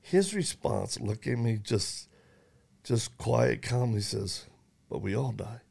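A man's voice: mid-range voice, lively intonation, slow, creaky, darkish tone, slightly rough. A man hosting a conversation, telling a story earnestly and quoting others, with frequent restarts. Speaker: host. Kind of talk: conversational interview talk.

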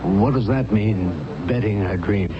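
An actor playing a composed, skeptical psychiatrist in a 1970s radio drama, questioning in a dry, measured manner. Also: male voice, on the low side, crowd noise, lightly acted. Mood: probing. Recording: muffled, noisy old radio broadcast.

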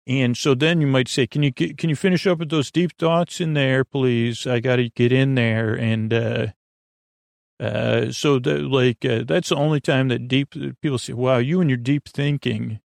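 A man hosting a sleep podcast, rambling in a meandering, halting way, stammering and restarting his sentences, with a creaky voice. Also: medium pitch, steady tone, no echo, clean studio sound. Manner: meandering, halting, stammering with repeated false starts. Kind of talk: rambling bedtime monologue.

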